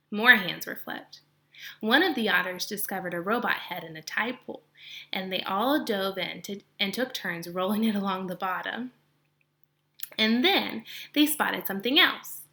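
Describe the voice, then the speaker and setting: A woman reading a children's novel aloud with expression, pausing to explain narrative point of view to her listeners like a teacher. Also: medium pitch, gravelly, pushed and bright.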